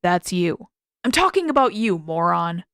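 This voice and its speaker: A woman's voice: on the low side, sing-song, a little slow, fairly bright tone, smooth, no room sound, clean studio sound, exaggerated voice. A woman voicing a blunt, irritable, sarcastic teenage boy in an audiobook-style story, speaking casually and emphatically. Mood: exasperated, snappy.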